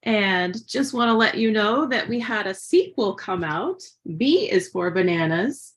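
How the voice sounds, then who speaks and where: A woman's voice: mid-range voice, animated, a little slow. A woman, a children's book author, making informal thank-you remarks.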